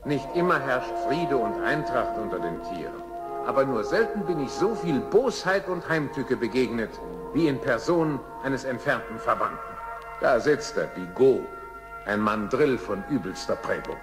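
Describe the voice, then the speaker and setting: A man voicing a wise, reflective jungle animal who narrates a children's radio play in an expressive, measured way. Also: mid-range voice, big-room sound, close to an everyday voice. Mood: grave, reflective. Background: music.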